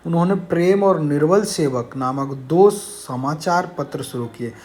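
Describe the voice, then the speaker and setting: A man teaching an exam-prep lesson, reading out questions and explaining answers in a didactic, repetitive way. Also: a little high. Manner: didactic, repeats key phrases.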